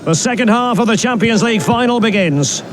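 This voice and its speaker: A man playing an excitable football commentator in a video game match. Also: extremely high pitch, a little fast. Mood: focused. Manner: excitable, voice leaps on big moments. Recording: loud stadium crowd and ambient noise.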